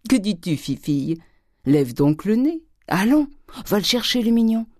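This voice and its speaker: Audiobook miser: old French father, husky, coaxing, greedy and quick to explode played by a woman. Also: medium pitch, big pitch swings, darkish tone, no echo, clean studio sound, voice pushed up. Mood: wheedling, greedy. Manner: coaxing, exclamatory, gold-obsessed. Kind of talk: audiobook character acting.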